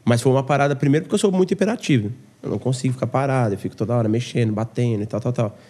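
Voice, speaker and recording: A man hosting a podcast from home, chatting casually in an animated, slangy way and often talking about himself. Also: mid-range voice, racing. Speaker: podcast host. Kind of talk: casual interview chat.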